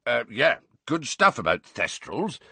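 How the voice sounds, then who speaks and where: A man voicing a flustered, good-natured giant of a teacher, with halting, informal speech. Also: medium pitch, very animated, creaky, bright, forceful tone, slightly rough, dry recording, pitched above the performer's own voice, heavily acted. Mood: trying to carry on.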